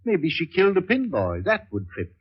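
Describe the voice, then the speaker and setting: An actor playing a boozy, sarcastic doctor sidekick in a 1940s radio crime drama, theatrical and rambling. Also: male voice, sits high, wildly swooping pitch, normal speed, husky, exaggerated voice. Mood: wry, speculative. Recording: dull lo-fi 1940s radio recording.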